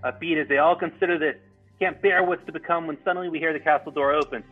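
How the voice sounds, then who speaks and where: A man reads stage directions for a comedy table read in a high, husky voice. His delivery is informal, with stumbles and ad-libs. Faint music plays underneath. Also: fairly even intonation, fast, narrow, tinny audio. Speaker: narrator reading stage directions.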